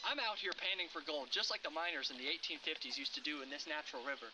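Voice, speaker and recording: Man acting chirpy park presenter, educational horror parody, slightly high voice, hurried, distant. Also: expressive, a crowd in the background, close to an everyday voice. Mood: cheerful, informative. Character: cheerful outdoor park presenter panning for gold. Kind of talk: scripted educational video parody in horror series.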